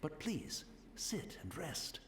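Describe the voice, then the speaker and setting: Casting: deferential male retainer for a game, courteous, expressive intonation, roomy echo. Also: a little high, slow pace, gravelly, full character voice. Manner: deferential, gentle, formal. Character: humble, courteous blind tea master, devoted retainer. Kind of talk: video game voice acting. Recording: roomy echo, noise behind.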